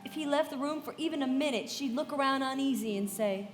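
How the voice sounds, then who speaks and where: A woman playing a poised young society woman who tells a story in measured, confiding narration in a live radio play, in a reverberant hall with music behind. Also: sits high, lightly acted. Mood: concerned, recounting.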